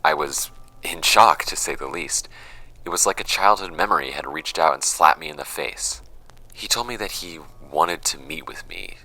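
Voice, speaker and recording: A very deep, gravelly, troubled young man. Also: very animated, sharp tone, full character voice, poor audio. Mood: wistful, subdued. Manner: hesitant, introspective, halting phrasing. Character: troubled young man recording a confessional personal statement. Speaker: audio drama actor in the lead role. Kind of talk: naturalistic confessional audio drama monologue.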